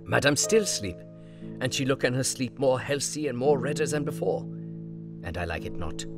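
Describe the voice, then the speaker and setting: A man reading a journal in an audio drama, speaking earnest, halting, foreign-phrased English. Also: mid-range voice, animated. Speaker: narrator reading an elderly professor's journal. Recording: music bed under voice.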